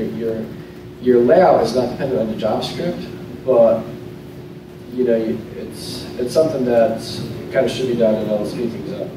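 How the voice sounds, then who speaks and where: A man in his thirties speaks at a lectern in a hotel conference room, talking loosely and often restarting his sentences as he walks the audience through a demo. His voice bounces around a very reverberant room and reaches the camera from a distance, with plain steady noise underneath. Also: medium pitch. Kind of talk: casual technical talk with live demo. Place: hotel conference room, in front of a projector screen. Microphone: lectern mic, recorded from a camera at the back of the room. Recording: very echoey room, voice from far off, clear noise.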